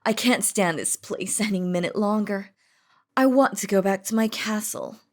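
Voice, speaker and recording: A woman's voice: medium pitch, normal speed, natural voice. A woman voicing a possessive, commanding demon queen in a romantic audio roleplay, dramatic and by turns threatening and doting. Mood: triumphant, possessive.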